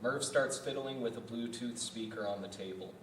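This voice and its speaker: A man's voice: lowish voice, moderate pace. A man reading scripted narration and stage directions as the narrator of a table read. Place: indoor festival venue. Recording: distant echoey room with background noise.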